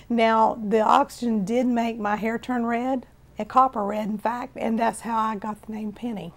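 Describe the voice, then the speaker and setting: A woman in her fifties from Florida with a hoarse, raspy voice, telling her own life story in a plain, unhurried way. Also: on the high side, darkish tone. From Florida, USA. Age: 50s. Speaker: testimony speaker.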